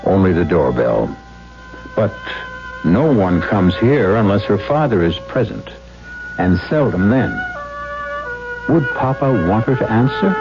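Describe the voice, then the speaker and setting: A grave, measured storyteller of 1970s radio mystery. His delivery is portentous and swings dramatically in pitch. The old broadcast sounds dull, with music clearly under him. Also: very animated. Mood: ominous, dramatic.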